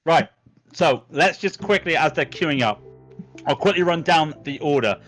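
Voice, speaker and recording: A man's voice: on the high side, lively intonation, normal speed, a bit bright, faint music behind. A man doing live sim racing commentary, working through standings and calling out the grid order with frequent fillers. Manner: works out numbers aloud with many fillers.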